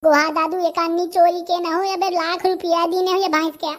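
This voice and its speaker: Squeaky, pleading cartoon villager. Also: male voice, flat delivery, brisk, a bit bright. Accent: Bundelkhandi. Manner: pleading, protesting. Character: squeaky, pleading male villager accused of theft. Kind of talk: comic cartoon dialogue.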